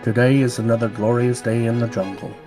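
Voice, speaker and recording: A man putting on a low, slow, hushed American radio DJ voice. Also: little pitch movement, music in the background, natural voice.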